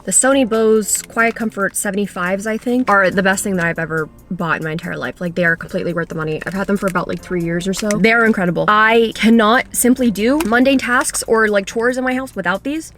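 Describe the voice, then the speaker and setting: A woman's voice: medium pitch, fairly even intonation, brisk, hardly any pauses, fairly bright tone, soft background music. A woman in her twenties, a native Polish speaker, chatting casually through a Q&A vlog and swearing offhandedly. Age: 20s. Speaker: YouTuber.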